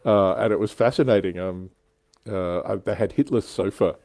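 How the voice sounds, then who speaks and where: Mellow low-voiced man hosting casual chat. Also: normal speed, no echo. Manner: informal, anecdotal, joking. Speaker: show host. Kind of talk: casual chat on a hobby livestream.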